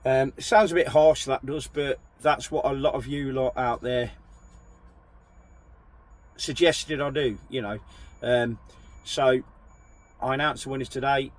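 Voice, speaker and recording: A man with a croaky voice from a chest infection, chatting casually to camera as a truck driver vlogger, rambling and apologetic. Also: medium pitch.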